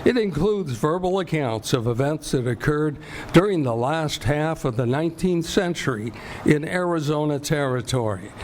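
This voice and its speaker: A man's voice: a little high, expressive, moderate pace, slight background noise. A man with an American accent reading scripted narration for a radio play. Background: loud music.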